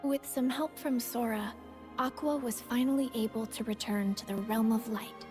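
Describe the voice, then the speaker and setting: A woman playing an earnest young game heroine, recounting her friends' battles in measured, solemn narration. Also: on the high side, steady tone, darkish tone, soft background music, lightly acted. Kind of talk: scripted story recap narration.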